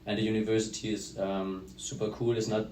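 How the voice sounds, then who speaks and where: A man's voice: fairly low pitch, little pitch movement, fairly quick, darkish tone. A man in his twenties from Germany, a native German speaker, chatting casually as a student guest. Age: 20s. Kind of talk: casual chat. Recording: echoey room, distant mic, faint noise.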